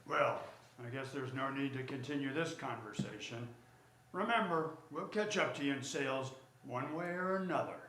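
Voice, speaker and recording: A man playing a smooth, self-assured department store tycoon, brisk and commanding, in a radio drama. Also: medium pitch, a bit bright, natural voice. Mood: cool, formal. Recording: roomy stage recording, faint ambient noise.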